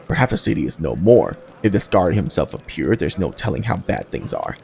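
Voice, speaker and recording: A man's voice: low-pitched, big pitch swings, brisk, vocal fry, a little mellow, slight background noise, heavily acted. Man acting a pompous merchant, swooping intonation. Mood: gloomy, disdainful. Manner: formal, pompous, fussy diction. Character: haughty wealthy merchant man, proud, indignant.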